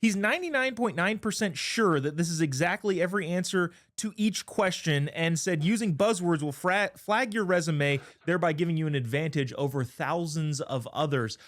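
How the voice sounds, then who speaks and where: Higher-pitched American man hosting a panel. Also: moderate pace, few pauses, fairly bright tone. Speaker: talk show host. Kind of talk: political panel talk, casual and joking.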